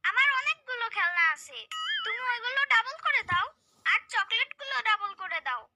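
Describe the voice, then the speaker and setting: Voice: very high voice, lively intonation, moderate pace, very noisy. A performer voicing a curious, cheeky, credulous little boy in a cartoon, chirpy and inquisitive. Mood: excited, insistent.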